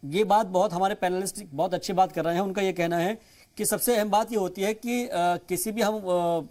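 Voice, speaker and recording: A man's voice: high voice, fairly even intonation, moderate pace, a little mellow. A man moderating a panel as host, wordy and using Urdu-flavoured vocabulary. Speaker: debate show host.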